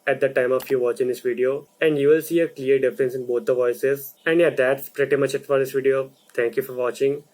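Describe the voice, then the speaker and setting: A young man from India who runs a video channel, talking through a voice lesson in a slow, deliberate explainer style. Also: mid-range voice, steady tone, darkish tone, low-quality recording. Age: young adult. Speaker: video creator. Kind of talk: explainer narration.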